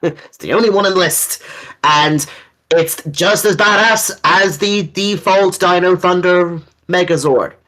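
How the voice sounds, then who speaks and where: A man's voice: high-pitched, a little slow, fairly bright tone, low-quality recording. A man co-hosting a casual fan discussion, talking in an enthusiastic, rambling way with some casual swearing. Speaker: co-host.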